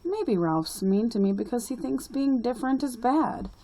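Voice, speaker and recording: A woman voicing a sweet, sensitive young schoolgirl in a storybook, earnest and expressive. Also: fairly high pitch, moderate pace, voice pushed up. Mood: wistful, upset.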